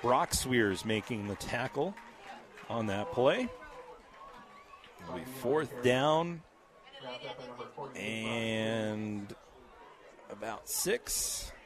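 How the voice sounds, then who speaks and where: A man with a scratchy voice calling a high school football game play by play, steady and measured, with casual fillers. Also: a crowd in the background. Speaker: play-by-play announcer.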